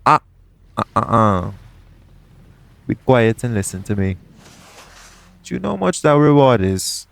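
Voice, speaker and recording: A man acting a shrewd, smug pirate captain in an audio roleplay, with a taunting, deliberate, persuasive way of talking. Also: fairly low pitch, vocal fry, darkish tone. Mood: smug, bargaining.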